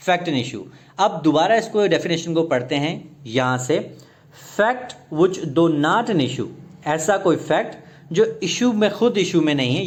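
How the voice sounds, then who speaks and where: A man with a Pakistani accent lecturing as an exam tutor, reading out questions and explaining them, repeating and rephrasing as he switches between two languages. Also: sits high.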